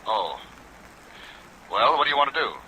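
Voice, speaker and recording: A man's voice: mid-range voice, sing-song, moderate pace, pushed and bright. An actor playing a hesitant, worried businessman heard as a distant telephone voice in an old radio drama. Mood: hesitant, concerned.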